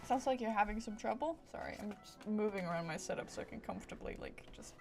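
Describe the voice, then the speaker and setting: A woman's voice: medium pitch, expressive, fast talker, creaky, darkish tone, soft background music. A woman livestreaming a game with casual, playful chatter and silly little asides. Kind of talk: casual gameplay stream chat.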